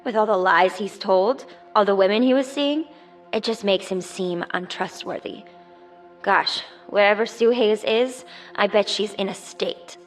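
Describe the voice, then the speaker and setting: An actress playing a chatty, suspicious 1950s hospital nurse trading gossip in an audio drama. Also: female voice, medium pitch, unhurried, soft background music, lightly acted. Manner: chatty, insinuating.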